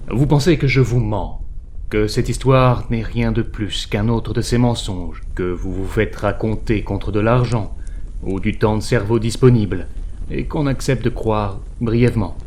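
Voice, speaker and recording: A slightly low, slow male narrator, played by an actor for audio fiction. He is grave and rhetorical, addressing the listener like a preacher, over faint ambient noise. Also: darkish tone, lightly acted. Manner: solemn, deliberate, rhetorical. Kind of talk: dramatic scripted narration.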